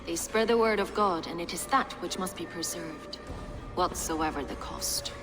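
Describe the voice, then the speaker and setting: An actress playing a devout, resolute abbess in a video game, earnest and formal. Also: female voice, fairly low pitch, moderate pace, music in the background, close to an everyday voice. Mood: solemn resolve. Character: devout, resolute abbess guarding sacred texts. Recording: long hall reverb.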